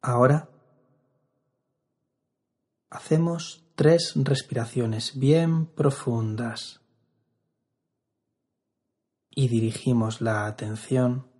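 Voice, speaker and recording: Man, meditation instructor, calm, slow-paced, husky, long pauses. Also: medium pitch. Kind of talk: guided meditation narration.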